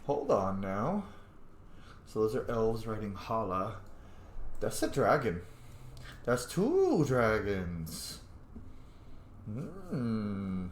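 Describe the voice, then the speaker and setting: A man's voice: fairly low pitch, wildly swooping pitch, drawn-out words, long pauses. A man giving casual, informal let's play commentary as a channel host, reacting wryly aloud. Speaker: video game streamer, channel host. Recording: echoey room, faint game noise.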